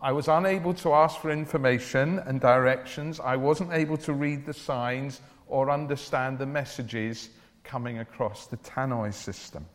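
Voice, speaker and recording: A man preaching a church sermon in an earnest, deliberate way, with declarative phrasing. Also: medium pitch. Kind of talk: evangelical church sermon with Bible reading and prayer. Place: large church or hall, speaking to a congregation. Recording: reverberant hall, long echo.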